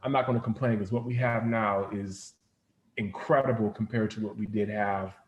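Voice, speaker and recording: A man's voice: on the low side, steady tone, moderate pace, reverberant. A man talking informally as an interview guest, telling stories in a rambling, conversational way. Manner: informal, rambling storytelling with frequent "like". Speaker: interview guest, comics company founder. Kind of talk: casual interview chat.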